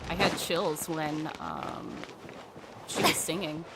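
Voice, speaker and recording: A woman's voice: low-pitched, big pitch swings, slow, vocal fry, very noisy. A woman streamer giving casual, hesitant let's play reactions, murmured over game music. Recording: loud game music behind voice.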